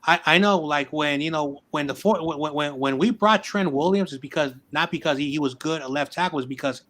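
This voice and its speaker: A man with a slightly high voice talks football in a casual hangout. He rambles and repeats words as he builds his point, loose and conversational. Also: fairly quick, fairly bright tone. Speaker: sports show host. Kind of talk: casual sports debate.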